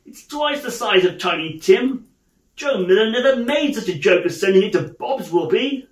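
A man voicing a reformed old miser as a hearty, excitable and emphatic old gentleman in a storybook reading. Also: high-pitched, normal speed, roomy sound, pitched above the performer's own voice. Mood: gleeful, excited. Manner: exclamatory, emphatic, theatrical.

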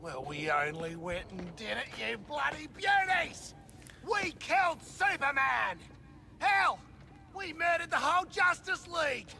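An actor playing a crude, boastful antihero who shouts his lines. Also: very high-pitched, lively intonation, unhurried, creaky, slight background noise, heavily acted. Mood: rowdy, gleeful. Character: brash, crude Australian male antihero, rowdy. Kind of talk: video game cutscene acting.

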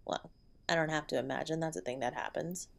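A woman's voice: low voice, fairly quick, vocal fry. A woman playing a tender, protective wife in an intimate audio roleplay, soothing and affectionate as she comforts her husband. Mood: indignant.

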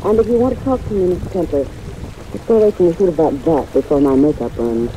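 Casting: female actor as brisk, poised wife in a vintage radio mystery. Theatrical, guarded, muted dark tone. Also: deep voice, lightly acted. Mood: brisk, haughty. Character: poised, brisk married woman, cool then anxious. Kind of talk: 1940s radio acting. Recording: muffled old radio recording, rain noise.

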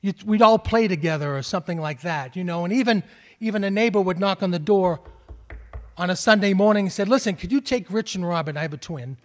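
Boston-accented pastor preaching in a reverberant church. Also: male voice, high voice, brisk. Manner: earnest preaching with rising calls and soft drops. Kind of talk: sermon. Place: large church sanctuary. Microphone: stand mic at pulpit, far camera.

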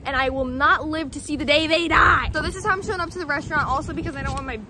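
A woman's voice: sits high, very fast, crowd noise. Bubbly young woman vlogging on a phone. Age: young adult. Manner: bubbly, says "literally" a lot. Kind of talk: casual vlog chat. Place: hotel room, indoors. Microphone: handheld phone camera. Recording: noisy phone audio.

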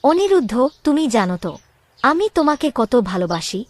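Caring young princess, high animated voice acted. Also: female voice, moderate pace. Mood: tender, anxious. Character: caring young royal woman, anxious and affectionate. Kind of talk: dramatic Bengali cartoon voice acting.

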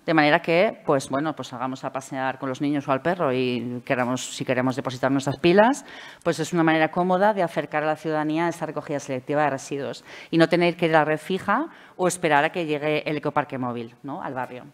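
A middle-aged woman with a Valencian Spanish accent giving a conference presentation from a table mic, measured and explanatory with frequent hesitation fillers. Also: low-pitched. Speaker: speaker at a public administration conference. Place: conference hall, seated at a table. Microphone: table microphone.

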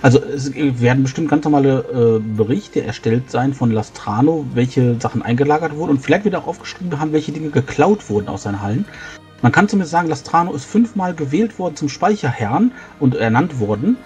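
A man with a mellow, relaxed voice plays his part in a tabletop role-playing session. He explains things haltingly at an average male pitch, with music playing behind him. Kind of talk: in-character improvised game dialogue.

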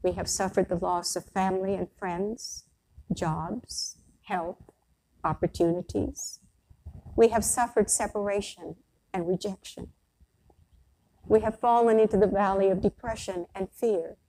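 The voice of an older woman you would hear from a small church pulpit on a Sunday, mellow and deliberate, over faint noise. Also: medium pitch, steady tone, rough recording. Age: older, around 60s. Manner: measured, deliberate phrasing with pauses. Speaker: priest. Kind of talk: sermon, read from a prepared text.

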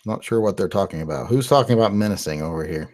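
A man's voice: fairly low pitch, animated, fast talker, darkish tone. A man in his forties from West Virginia, hosting a live-stream Q&A and answering viewer questions in a loose, rambling way with lots of "uh" fillers, recorded on a studio mic in a small home studio. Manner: rambling and conversational, with frequent "uh" fillers. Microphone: large studio mic on a boom, headphones.

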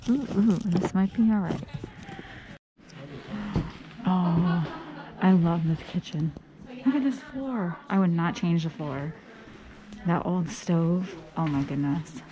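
A woman's voice: fairly low pitch, slow pace, long pauses, background noise. A woman touring an old house at an auction, chatting to her camera as a vintage paper reseller, gushing over finds and sometimes whispering. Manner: chatty, gushing exclamations, some whispered reading. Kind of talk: casual walk-through vlog. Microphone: body-worn action camera.

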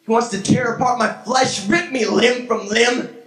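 A young man performing spoken word poetry on a small church stage with a handheld mic, dramatic and swinging from hushed to shouted. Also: very high voice, fairly even intonation, moderate pace, soft background music. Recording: echoey room from a far camera.

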